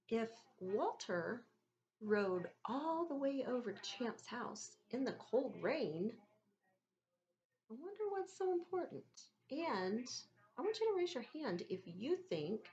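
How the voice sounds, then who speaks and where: A woman's voice: on the high side, a little mellow, muffled recording. Woman reading to children, noisy background. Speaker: teacher reading aloud to students. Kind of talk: expressive children's read-aloud with questions to listeners.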